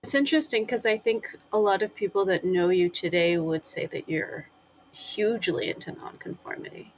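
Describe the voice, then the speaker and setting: A woman interviewing a guest, asking gentle, probing follow-up questions. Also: mid-range voice, normal speed, a little hiss or hum, rough recording. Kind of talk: conversational interview.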